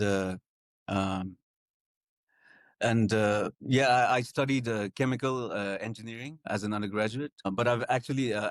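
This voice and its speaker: A young man from Nepal, a guest talking through his story in a conversational interview. His voice sits on the low side and comes across close and dry, with no room sound. He speaks in a halting, thoughtful way, full of "uh"s and restarted phrases. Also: no echo. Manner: hesitant, many fillers and restarts.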